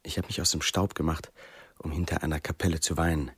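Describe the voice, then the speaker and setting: A man's voice: rumbling low, fairly even intonation, fast talker, slightly rough, dry recording, full character voice. An actor playing a sensitive, brooding middle-aged man in a radio play. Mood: confiding, melancholy. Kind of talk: radio play acting and monologue.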